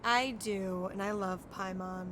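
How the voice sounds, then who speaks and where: A woman's voice: mid-range voice, steady tone, measured pace, background noise. A woman doing casual live playthrough commentary as a game streamer, playfully reacting aloud. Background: game music.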